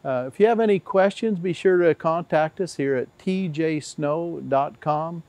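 A man's voice: a little high, unhurried, no room sound. A man in his sixties, a service engineer, giving a methodical, plain-spoken walkthrough on a factory floor with a lapel mic. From Tennessee. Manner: methodical, plain-spoken, steady explanations with occasional "uh". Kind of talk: instructional walkthrough. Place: large factory floor, indoors.

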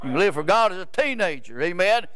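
A man's voice: sits high, sing-song, quick pace, a bit bright, clear, resonant tone, dry recording. A man, preaching a rambling, folksy sermon in a church sanctuary and often stopping to ask "Amen?". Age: 70s. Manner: rambling, repeats words, asks "Amen?" of the crowd.

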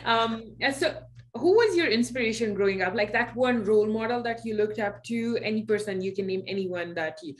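A woman's voice: on the high side, fairly quick. A woman hosting a video series interview over a video call, stacking questions with fillers. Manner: asks several questions at once, hesitant fillers. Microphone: video call webcam.

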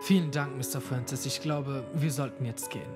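Man as an earnest young sleuth, mid-pitched, music behind. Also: fairly even intonation, moderate pace, close to an everyday voice. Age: young adult. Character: curious young male student investigator. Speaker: narrator.

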